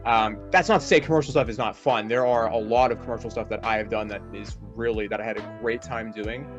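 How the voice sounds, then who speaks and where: A man's voice: lowish voice, steady tone, fairly quick, dull audio, music in the background. A man giving a casual, rambling interview as a voice actor, often tagging phrases with a checking question.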